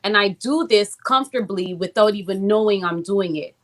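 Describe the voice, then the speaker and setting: A woman's voice: mid-range voice, moderate pace. A woman recording artist from Trinidad and Toronto, talking about her music in a casual interview, thoughtful and full of "um" and "you know". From born in Trinidad, raised in Toronto. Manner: reflective, with frequent "um" and "you know".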